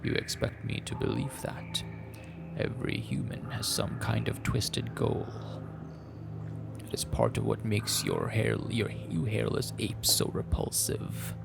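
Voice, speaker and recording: Visual novel monster played by a man: contemptuous she-beast, breathy and guttural, formal, slow menace. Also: lowish voice, over music. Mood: scornful. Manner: slow, haughty, formal speech. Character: menacing female forest monster, contemptuous of humans.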